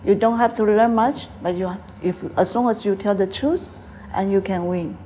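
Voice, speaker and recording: Older Taiwanese woman in halting English. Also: medium pitch, warm tone, a little hiss or hum, poor audio. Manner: hesitant, halting English with many fillers. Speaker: guest, tea house owner. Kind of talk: interview answers.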